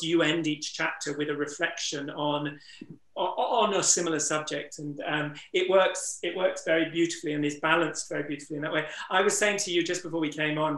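A man's voice: fairly high pitch, bright, forceful tone. A man hosting a webinar conversation, earnest and reflective, his voice strained from disuse. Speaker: interviewer and wildlife trust ambassador. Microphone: video call. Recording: roomy echo.

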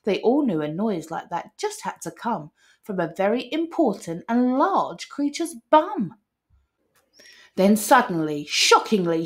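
A woman reading a children's picture book aloud as an expressive storyteller. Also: fairly high pitch.

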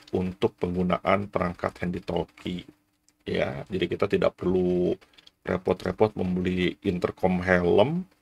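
A man's voice: low voice, creaky. A man in his forties giving a casual, explanatory product review to camera, often tagging his sentences. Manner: frequent "ya" tags, explanatory. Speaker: vlogger reviewing gear.

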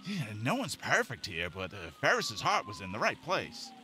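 Casting: male actor for crooked cop, overfamiliar wheedler, very lively intonation, noisy backdrop, audio drama. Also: medium pitch, moderate pace. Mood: cajoling. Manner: chummy, cajoling.

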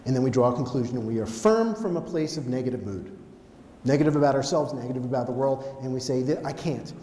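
A middle-aged American man giving a conversational motivational talk on stage, telling stories in an animated manner. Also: mid-range voice, quick pace. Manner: animated, conversational, acts out dialogue. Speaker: keynote speaker. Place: on stage in an auditorium. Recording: echoey hall with background noise.

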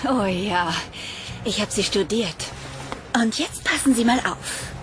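A woman's voice: medium pitch, slow, lightly acted. Flirty, self-assured femme decoy played by a woman. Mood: confident. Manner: teasing, confident. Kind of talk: German sci-fi audio drama acting. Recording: music behind the dialogue.

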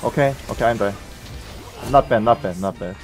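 Excitable male gaming teammate. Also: on the low side, normal speed, soft background music. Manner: repeats simple words like okay, exclamatory. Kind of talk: short excited game reactions and replies.

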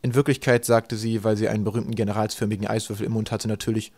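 A man reading a comic story as an audiobook narrator, in a dry, deliberate storytelling manner. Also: lowish voice, flat delivery, a little mellow, dry recording. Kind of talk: scripted literary narration, storytelling.

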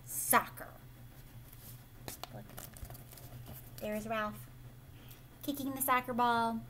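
An American woman in her thirties reads a picture book aloud to children expressively, pausing to ask the listener questions. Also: on the high side. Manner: animated, prompting listeners with questions. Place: living room at home, indoors.

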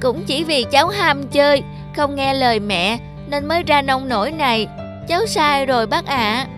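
High-voiced childlike cartoon teacup. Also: female voice, animated, normal speed, over music. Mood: contrite. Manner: childlike, naive. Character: playful, headstrong little child teacup.

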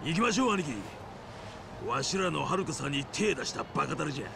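An actor playing a brash, lecherous middle-aged yakuza boss with a Hiroshima accent and a gravelly voice, in a video game. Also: male voice, fairly high pitch, fairly quick, noisy background. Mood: belligerent. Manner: blustering, exaggerated, animated. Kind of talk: video game cutscene acting.

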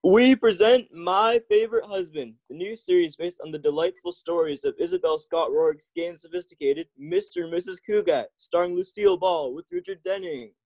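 A man's voice: high voice, a little fast, few pauses, a little mellow, no echo, poor audio. A man announcing a scripted old-time radio comedy as its narrator.

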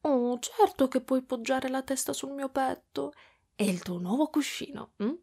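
A woman voicing a doting, clingy motherly spider-woman in an ASMR roleplay, speaking softly and affectionately with whispery stretches. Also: high voice, expressive, a little slow, voice pushed up, full character voice. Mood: soothing, affectionate. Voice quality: soft, breathy with whispery stretches. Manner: cooing, affectionate, giggly, pet names.

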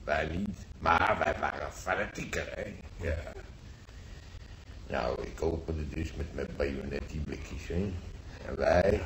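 Deep-voiced gruff old grandfather veteran. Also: fairly bright tone, heavily acted. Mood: nostalgic. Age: older. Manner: halting, repetitive, earthy colloquial telling. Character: old Dutch grandfather, Spanish Civil War veteran, nostalgic, gruff. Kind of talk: radio drama acting, reminiscing monologue. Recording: roomy old radio play, faint noise.

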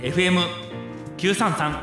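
A man's voice: high-pitched, measured pace, over music. A middle-aged man hosting a radio show in a casual, chatty style, close to a studio microphone. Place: small indoor studio. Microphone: close studio microphone on a stand.

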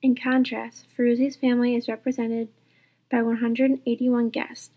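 A young woman reads a student book report aloud at a careful, steady pace. Her voice sits a little high yet stays flat and even. Its tone is soft and mellow. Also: normal speed, poor audio. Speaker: student presenter.

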